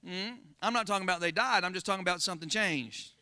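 High, bright Florida preacher man. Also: quick pace, dry recording. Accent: Floridian Southern American. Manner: call-and-response, folksy, rising to emphatic exclamations. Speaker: pastor. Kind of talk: preaching a sermon.

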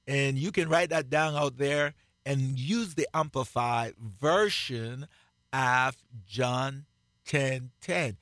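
A man with a Jamaican accent, preaching on a radio broadcast in a slow, emphatic way and repeating key phrases. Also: medium pitch, fairly bright tone, dry recording, clean studio sound. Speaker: pastor. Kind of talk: radio sermon, co-hosted Bible teaching.

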